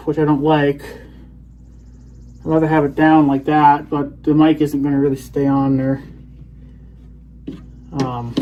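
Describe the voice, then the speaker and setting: Rambling man with a slightly high voice. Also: darkish tone, dull audio. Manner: rambling, thinking aloud. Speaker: video blogger. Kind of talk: casual hands-on gadget demonstration.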